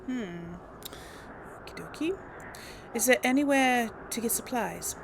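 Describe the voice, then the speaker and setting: A woman's voice: on the high side, animated, a little slow, creaky, background noise. A woman hosting a casual role-play game video, talking playfully as she goes. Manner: playful, chatty, laughs often. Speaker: let's play host.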